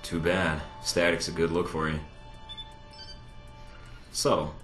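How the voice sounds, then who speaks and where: A man's voice: very deep voice, level tone, slow, a bit bright, roomy sound, faint music behind, heavily acted. A man playing a cocky, sardonic secret agent recruit in a video game, with a dry, wisecracking delivery. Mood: wry flirting.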